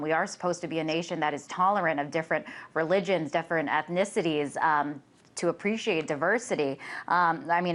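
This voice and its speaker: A woman's voice: on the low side, a bit bright. A woman hosting a broadcast news interview, sometimes hesitant as she puts her questions. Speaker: news show host interviewer.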